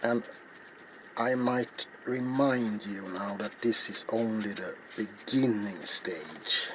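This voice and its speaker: Slow, low-voiced man narrating crafts. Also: fairly bright tone. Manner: rambling, halting, repeats words. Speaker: host of a craft video channel. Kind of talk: casual hushed ASMR craft demonstration. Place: small cluttered indoor workshop. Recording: noisy room ambience.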